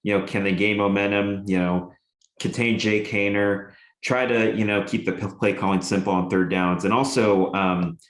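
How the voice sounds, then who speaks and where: A young man with a very low, deep voice talks through a college football preview in a loose, rambling way, full of "uh" and "you know" between his points. He sounds like he is in an echoey room. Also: fairly even intonation, moderate pace. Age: young adult. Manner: rambling with many fillers, enthusiastic. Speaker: sports show co-host and radio broadcaster. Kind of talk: casual sports chat.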